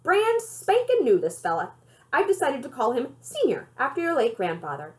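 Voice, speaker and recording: A woman voicing a proud, feisty storybook grandmother who talks in a folksy, emphatic, excited way. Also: high-pitched, normal speed. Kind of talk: children's storybook character voice.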